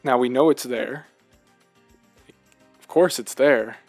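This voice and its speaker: A man co-hosting a casual video game discussion, talking in an animated, rambling way and repeating himself for emphasis. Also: medium pitch, takes it slowly, soft background music. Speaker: podcast co-host.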